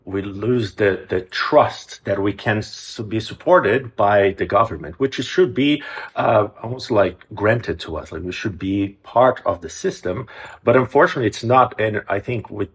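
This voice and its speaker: A man from Brazil, a music program's executive director, answering interview questions earnestly, often restarting his phrases. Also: fairly low pitch. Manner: earnest, with frequent restarts and hesitations.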